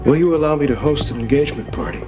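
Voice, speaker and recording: An actor playing a controlling, wealthy middle-aged uncle with a formal, measured, coolly menacing manner in a television soap. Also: male voice, a little high, lightly acted. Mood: smoothly polite. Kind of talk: television soap opera acting. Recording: TV drama audio under loud music.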